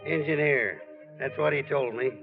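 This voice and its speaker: A man's voice: medium pitch, moderate pace, close to an everyday voice. A man playing a wry, folksy sportswriter in a 1940s radio drama. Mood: wry, sceptical. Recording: tinny old radio recording with music.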